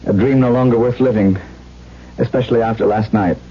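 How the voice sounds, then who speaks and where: A man's voice: medium pitch, fairly even intonation, a little fast, a little hiss or hum, close to an everyday voice. A man playing a brooding, haunted widower in a radio drama, speaking with intense, literary melancholy. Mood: earnest, anguished. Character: brooding, haunted widower, tormented by lost love. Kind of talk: dramatic first-person radio narration and dialogue. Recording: dull 1970s radio recording, music behind.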